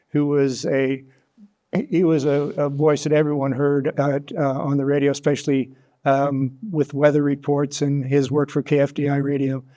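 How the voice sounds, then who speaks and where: A man answering as an interview guest, talking conversationally and haltingly, repeating words as he explains. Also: medium pitch, mellow. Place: broadcast interview studio.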